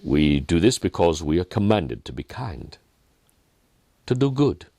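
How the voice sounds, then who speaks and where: A kindly, earnest miner in a storybook tale, played by a male reader. His low voice swings widely as he stresses his words. The phrasing is deliberate and the sound is dry. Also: big pitch swings, warm tone, no echo, heavily acted. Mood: gentle pleading. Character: kindly, earnest, wry Outback miner of gentle faith.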